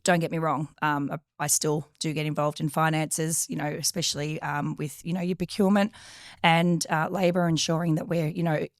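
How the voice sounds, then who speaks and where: Young female guest, a bit of vocal fry, voice going, hesitant answers. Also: low-pitched, little pitch movement, normal speed, dry recording, clean studio sound. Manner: hesitant, many fillers. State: losing her voice. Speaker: project coordinator guest. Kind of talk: casual interview answers.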